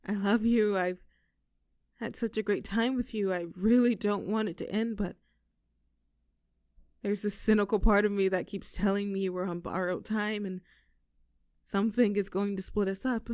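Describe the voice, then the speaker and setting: Casting: woman to play playful young girlfriend, teasing yet anxious underneath, intimate roleplay audio, mid-pitched, dry close sound. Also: steady tone, a little mellow, no echo, close to an everyday voice. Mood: vulnerable, tender. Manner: teasing, halting when earnest.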